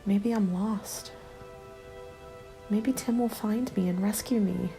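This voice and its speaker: Slow, whispering woman narrating an ASMR story. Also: medium pitch. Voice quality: soft, breathy, mostly whispered. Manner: slow, hushed, deliberate storytelling. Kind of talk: ASMR scripted first-person story narration. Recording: soft music under the voice. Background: instrumental music.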